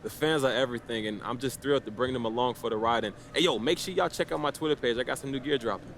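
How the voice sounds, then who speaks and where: An actor playing a confident young basketball star giving slangy, casual press conference answers in a video game. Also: male voice, medium pitch, fast talker, a bit bright, slight background noise, natural voice. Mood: cocky, playful. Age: young adult. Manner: cocky, slangy, laid-back.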